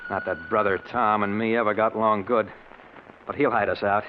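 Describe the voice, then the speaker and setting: An actor playing a tough, scheming escaped convict with an American accent in an old-time radio drama. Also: male voice, lowish voice, fairly even intonation, moderate pace, lightly acted. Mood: tough, determined. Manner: tough, commanding. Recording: muffled, noisy old radio recording.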